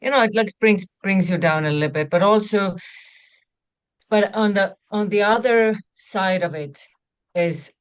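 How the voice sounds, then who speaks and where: A woman's voice: medium pitch, measured pace, clear, resonant tone. A woman from Estonia with an Estonian accent, a guest talking in a casual interview, full of "you know" and "like" fillers. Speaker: actor guest.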